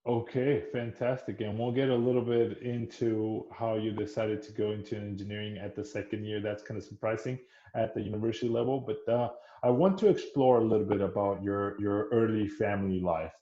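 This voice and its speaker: A man's voice: fairly low pitch, steady tone, a little fast, dark tone, echoey room, muffled recording, slight background noise. A man hosting an interview podcast, with a formal, earnest way of speaking that often repeats words. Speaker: podcast host.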